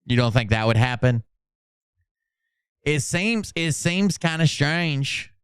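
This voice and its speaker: A man's voice: a little high, animated, takes it slowly, clean tone, no room sound, clean studio sound. A man hosting a casual livestream commentary, talking in a sarcastic and profane way. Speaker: channel host doing mocking dramatic readings.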